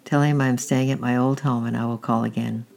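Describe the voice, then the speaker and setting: Man reading gentle devout traveler, gravelly, flat. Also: mid-range voice, brisk, natural voice. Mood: courteous. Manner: gentle, earnest.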